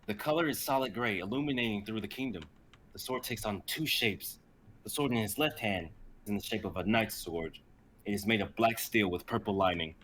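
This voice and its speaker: A man's voice: lowish voice, a little fast, a bit bright, rough recording. A young man narrating an amateur fantasy script in dramatic storytelling style. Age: young adult. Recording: faint background noise, live stream.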